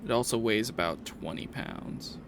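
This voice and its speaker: A man improvising narration as game master of a tabletop game, halting with pauses mid-sentence. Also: lowish voice, gravelly, heavy background noise.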